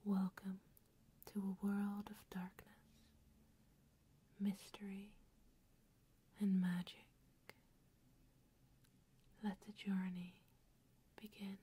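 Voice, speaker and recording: A woman performing a solemn, mysterious storyteller in a whispered, breathy ASMR roleplay introduction, with hushed, portentous phrasing. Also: medium pitch, completely flat delivery, very slow, pauses often, exaggerated voice. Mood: hushed, ominous. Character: solemn, mysterious female storyteller introducing a dark vampire world.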